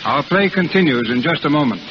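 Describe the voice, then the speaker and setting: A man announcing a 1930s radio drama in a crisp, formal delivery. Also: fairly high pitch, animated, brisk, over music. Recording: hissy muffled old radio.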